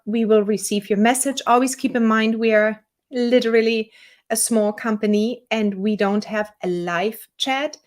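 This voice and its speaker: A woman with a German accent, the owner of a small software company, walking viewers through a software tutorial in a relaxed, chatty way with fillers. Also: mid-range voice. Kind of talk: screen-share software tutorial.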